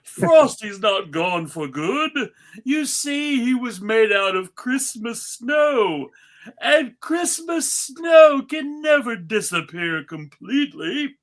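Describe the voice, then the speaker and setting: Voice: medium pitch, big pitch swings, slow pace. A performer voicing a jolly, kindly, commanding old man in a hearty, emphatic cartoon table read. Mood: reassuring.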